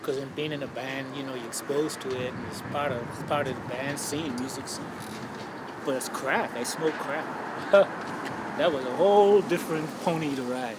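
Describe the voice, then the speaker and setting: Older bahamian man, frank and reflective, halting speech, loud traffic behind. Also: medium pitch. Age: 70s. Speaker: interviewee. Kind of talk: candid street interview. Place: outdoors on a city street. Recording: loud street traffic noise. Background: city traffic.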